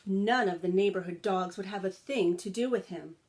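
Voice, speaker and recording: A woman reading a picture book aloud like a teacher, expressive and sympathetic, adding simple explanations for children. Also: mid-range voice, normal speed.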